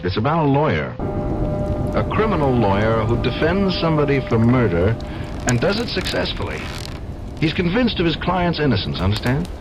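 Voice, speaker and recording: A man's voice: mid-range voice, big pitch swings, moderate pace, lightly acted. A man with an American accent acting an earnest, agitated criminal lawyer in an old-time radio drama, sometimes hesitant and always intense. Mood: uneasy, earnest. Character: troubled, agitated younger criminal defense lawyer. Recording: radio drama with music behind.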